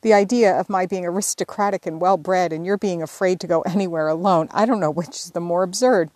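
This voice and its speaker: A woman's voice: fairly low pitch, fairly quick, darkish tone, no echo, natural voice. A woman reading as a blunt, contrary older sister. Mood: resigned. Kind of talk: audiobook character reading.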